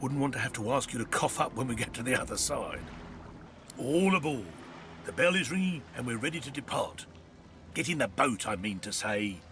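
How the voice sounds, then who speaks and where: A man's voice: mid-range voice, lively intonation, moderate pace, lightly acted. A man playing a sly, greedy undead ferryman in a video game, with a theatrical, mock-courteous manner. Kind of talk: video game character acting. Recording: music behind the voice.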